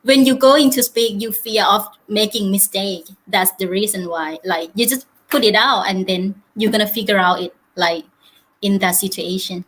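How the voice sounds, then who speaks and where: A Thai woman chatting as a guest on a live show in English. Her speech is relaxed and friendly and full of "like" fillers, at an ordinary pitch. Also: normal speed, poor audio. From Thailand. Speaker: guest, Thai language teacher. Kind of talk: casual interview chat.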